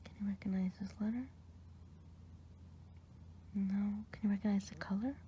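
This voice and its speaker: A woman playing a kindly witch who tests a patient's eyes, whispering softly and asking patient questions in an ASMR roleplay. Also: mid-range voice, fairly even intonation, moderate pace, muffled recording, slight background noise, close to an everyday voice. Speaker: ASMR performer.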